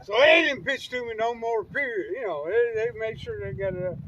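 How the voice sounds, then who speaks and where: An older man reminiscing in a casual, folksy way with colloquial grammar, halting and repeating words as he tells stories. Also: high voice. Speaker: guest storyteller, uncle of the vlogger. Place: outdoors on a windy riverbank. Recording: muffled distant mic, loud wind noise.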